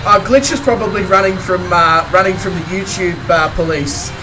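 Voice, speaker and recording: An Australian man streaming a game, chatting casually with viewers in a croaky voice, rambling and chuckling between remarks. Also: high voice, moderate pace, recorded from a distance, background noise. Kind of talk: casual chat with live viewers.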